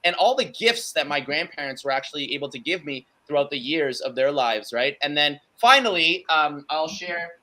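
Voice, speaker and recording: A man whose first language is Cantonese chats on a video call from home, eager and rambling, padding his thoughts with fillers. His voice sits a little high and sounds bright and forward. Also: expressive, moderate pace, hardly any pauses. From Canada. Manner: enthusiastic, rambling with many fillers. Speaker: guest speaker. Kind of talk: casual chat. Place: home room.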